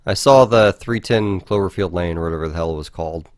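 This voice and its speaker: Podcast dude, kinda low voice, rambles and hedges, self-deprecating, super dry room. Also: male voice, quick pace, dry recording. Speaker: podcast co-host. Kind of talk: casual chat.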